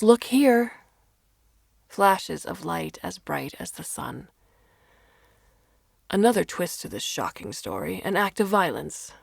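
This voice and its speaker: A woman reading a first-person short story as narrator, in a dramatic, expressive manner. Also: fairly low pitch, gravelly, no room sound, studio quality.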